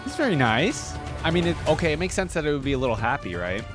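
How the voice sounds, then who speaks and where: A man's voice: a little high, very animated, fast. A man giving casual reaction commentary as a host, chatting informally and speaking straight to his viewers. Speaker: host of a game reaction channel. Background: music from the video being watched.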